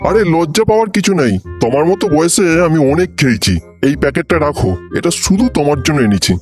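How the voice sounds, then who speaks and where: A man's voice: on the high side, sing-song, fast talker, music in the background. A man voicing a sly middle-aged father in a comedy cartoon, coaxing and insistent with a false chumminess. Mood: coaxing, chummy. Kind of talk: Bengali comedy cartoon dubbing.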